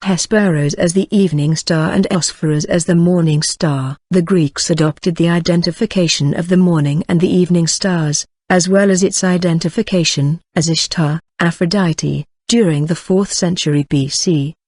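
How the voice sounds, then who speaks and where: A synthetic-sounding voice reading an encyclopedia article aloud, keeping the same even delivery through section headings and sometimes mispronouncing names. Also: mid-range voice, normal speed, few pauses, no room sound, studio quality.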